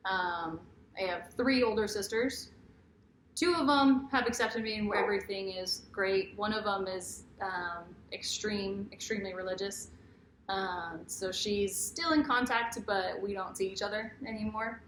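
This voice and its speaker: A woman's voice: medium pitch, a little slow, fairly bright tone. An American woman in her mid twenties telling personal stories in a casual, candid way as she co-hosts a Q&A. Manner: informal, candid. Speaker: vlogger, co-host of couple's Q&A. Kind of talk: casual chat, personal storytelling. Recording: echoey room, faint background noise.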